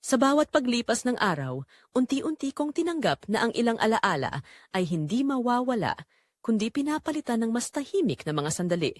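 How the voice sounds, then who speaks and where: Female narrator for emotional first-person audio drama, expressive melodic range, dry studio-like sound. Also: on the high side, brisk, dry recording.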